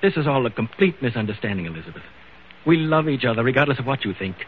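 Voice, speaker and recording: An actor playing an earnest businessman husband in an old-time radio drama, speaking in a measured, probing and hesitant way. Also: medium pitch, big pitch swings, natural voice. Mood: earnest, pleading. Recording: tinny, noisy old radio recording.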